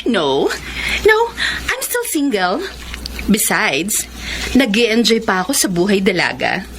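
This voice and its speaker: A woman's voice: mid-range voice, big pitch swings, takes it slowly, background noise. A Filipino woman playing a poised, guarded young career woman in a radio romance, speaking affected Tagalog peppered with English. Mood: breezy, proud. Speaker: actress in a radio romance drama.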